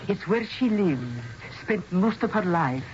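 A woman's voice: on the low side, animated, a little mellow. Solemn mystic woman psychic, muffled old radio. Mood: solemn, mystical. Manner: solemn, theatrical, deliberate phrasing. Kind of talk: 1970s radio drama acting. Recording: dull, noisy old radio broadcast recording.

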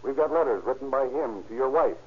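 An actor playing a relentless police interrogator in an old radio crime drama, firm and accusatory. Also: male voice, mid-range voice, expressive, a little fast, slightly rough. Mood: accusing, pressing. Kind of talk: 1940s-50s radio crime drama acting. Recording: dull, hissy old-time radio recording.

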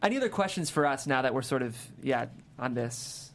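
Man with very lively, swooping pitch. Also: fairly quick. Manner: hedging, conversational. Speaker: panel show host. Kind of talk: casual panel discussion. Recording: clear background room noise.

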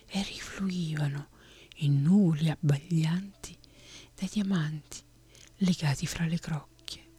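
A woman reading a fantasy story aloud in a steady whisper. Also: deep voice, slow pace, slightly rough. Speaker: narrator.

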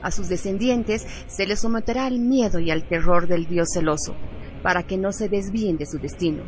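A woman's voice: medium pitch, normal speed. A woman reading a scripted radio narration in a solemn style. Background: background music.

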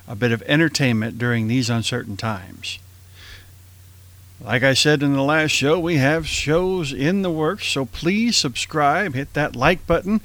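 A man hosts and announces for a radio drama show. His voice sits a little higher than average. The sound is very dry, with no room around it. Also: a bit bright, no room sound. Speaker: host and announcer.

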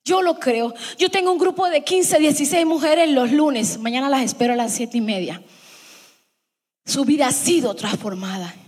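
A woman's voice: high-pitched, moderate pace, darkish tone, big-room sound. A middle-aged woman preaching a sermon to her congregation, emphatic and fond of repeating her lines.

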